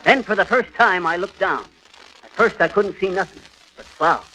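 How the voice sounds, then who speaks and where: A man playing a boastful, middle-aged, folksy storyteller with ungrammatical, drawn-out talk in 1930s radio comedy. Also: sits high, expressive, bright, forceful tone, husky, heavily acted. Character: boastful middle-aged folksy male tall-tale teller. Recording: noisy, crackly 1930s radio recording.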